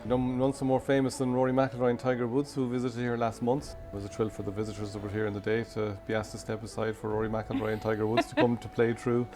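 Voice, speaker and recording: An Irish man from a golf club answering interview questions in a brisk, proud way. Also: mid-range voice, flat delivery, music in the background.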